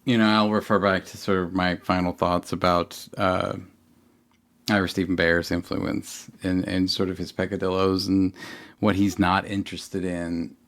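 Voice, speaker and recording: A man co-hosting a podcast in casual discussion, haltingly restarting and repeating himself as he makes his points. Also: sits low, little pitch movement, a bit bright. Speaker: podcast co-host.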